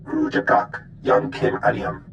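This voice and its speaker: An actor voicing a gruff alien with a gravelly voice, speaking an invented tongue in a video game. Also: male voice, on the low side, huge pitch swings, a little slow, a bit bright, exaggerated voice. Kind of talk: scripted video game dialogue. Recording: dull, roomy sound with faint noise.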